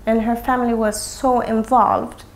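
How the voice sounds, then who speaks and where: A young woman from Sweden, talking candidly as an interview guest, pausing often as she searches for words. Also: on the high side, level tone, a little hiss or hum, low-quality recording. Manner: halting, with frequent pauses and "like". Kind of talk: candid personal conversation.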